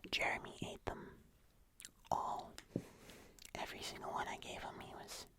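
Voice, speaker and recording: An ASMR host speaking softly and unhurriedly, musing aloud in whispered narration while doing a craft. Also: normal speed.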